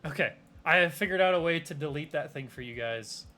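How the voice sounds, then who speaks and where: A man's voice: a little high, animated, brisk, bright, forceful tone. A young man running a tabletop game as game master, rambling with plenty of fillers and restarts. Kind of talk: casual tabletop game narration.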